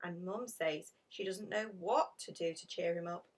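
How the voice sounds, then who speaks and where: A woman's voice: fairly low pitch, measured pace, distant mic. A woman voicing an earnest, downcast schoolgirl in a children's storybook. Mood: gloomy.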